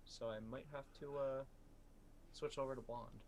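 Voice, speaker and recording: Young man, co-host, eager, restarts sentences, mid pitch. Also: fairly even intonation, normal speed, darkish tone, a little hiss or hum. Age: 20s. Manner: eager, stammers and repeats himself. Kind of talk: casual group chat.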